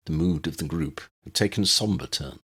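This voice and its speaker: Deep, husky male storyteller. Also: moderate pace, a bit bright. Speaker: audiobook narrator. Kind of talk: scripted fantasy fiction narration.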